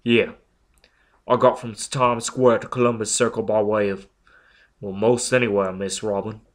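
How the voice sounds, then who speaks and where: A man's voice: lowish voice, level tone, moderate pace, close to an everyday voice. A man reading aloud, voicing a hulking, uneducated young man who talks bluntly in broken grammar and menaces a woman. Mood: threatening.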